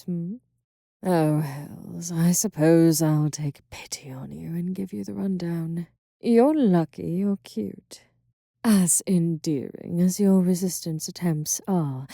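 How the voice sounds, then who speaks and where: A woman voicing a sultry, cruel female succubus in an ASMR audio roleplay, purring and mocking in slow whispers close to the mic. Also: lowish voice, gravelly, mellow, no room sound, heavily acted.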